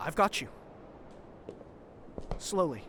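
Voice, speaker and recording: An actor playing a loyal young crewman in an audio drama, speaking in a measured, earnest, reassuring way. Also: noisy background, close to an everyday voice.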